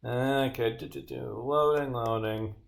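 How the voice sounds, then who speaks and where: Male game developer on stream, medium pitch, casual muttering, prone to swearing and grumbling. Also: steady tone, measured pace, creaky. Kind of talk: casual livestream muttering while reading and bugfixing script aloud. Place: indoors at a computer, streaming.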